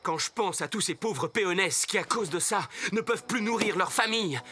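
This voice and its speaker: A man's voice: high-pitched, a little fast, background noise, close to an everyday voice. A man playing a suave, mocking masked hero in a cartoon dub, with theatrical mock courtesy. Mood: playful, mocking. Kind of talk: French cartoon dub acting.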